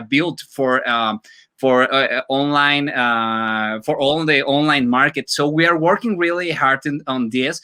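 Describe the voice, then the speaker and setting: A middle-aged man from Mexico speaks English with a Mexican Spanish accent, stumbling over repeated words and fillers. His voice sits a little high and sounds bright and forceful. He talks like a podcast guest telling his story. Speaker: guest, music school owner. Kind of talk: live podcast interview.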